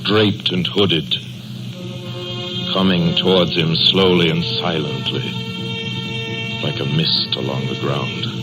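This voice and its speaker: An actor narrating a ghost tale in a grave, measured, dramatic 1930s radio style. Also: male voice, extremely low pitch, fairly even intonation, low-quality recording. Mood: solemn suspense. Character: solemn male storyteller of a ghost tale. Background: loud music.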